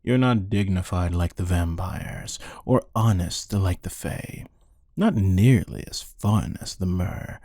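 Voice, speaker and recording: A man acts out a cold-blooded killer interrogating a captive in an ASMR roleplay. His voice is deep and mellow, with a slow, deadpan delivery. He taunts with dry, menacing amusement. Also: no echo, full character voice. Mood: mocking. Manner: deadpan, taunting, unhurried with musing pauses. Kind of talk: scripted ASMR roleplay monologue.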